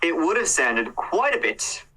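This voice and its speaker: A man's voice: on the high side, sing-song, unhurried, background noise. A man casually retelling a true crime case in a conversational way, with hedges and fillers. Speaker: true crime reaction host.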